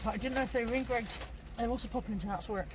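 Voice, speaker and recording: A woman with a gravelly voice, tired and hot, chatting casually to camera for her vlog. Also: on the high side, very fast, background noise. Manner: chatty, self-deprecating, rambling. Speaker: vlogger. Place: parked car.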